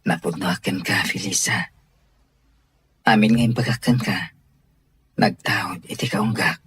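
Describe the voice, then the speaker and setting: A man playing a sincere young gentleman employer in an Ilocano radio drama, with an Ilocano accent and a breathy voice. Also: lowish voice, a little slow, full character voice. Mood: tender, earnest. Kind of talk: Filipino radio drama acting.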